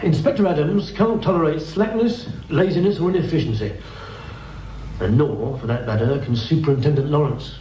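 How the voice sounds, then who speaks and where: An actor as an English police desk officer in a radio drama, plain-spoken and wry. His fairly high voice comes from off the mic in a roomy space. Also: moderate pace, mellow, lightly acted. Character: chatty police station desk sergeant. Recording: echoey, distant, noisy radio drama recording.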